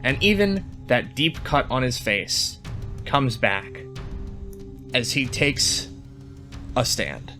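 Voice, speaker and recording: Guy narrating his rpg character, slow and halting, faint music under him. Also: mid-range voice, very animated, bright, forceful tone. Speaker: tabletop game player. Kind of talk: descriptive in-game narration.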